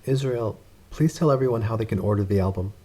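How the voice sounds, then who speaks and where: Dark, husky-voiced male podcast host. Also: on the low side, fast talker. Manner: brief, encouraging questions. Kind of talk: interview questions.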